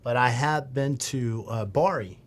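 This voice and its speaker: Man, podcast host, relaxed questions, jokey, middle pitch. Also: unhurried. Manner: theatrical intro, then relaxed questions. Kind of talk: casual podcast interview. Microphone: studio podcast mic.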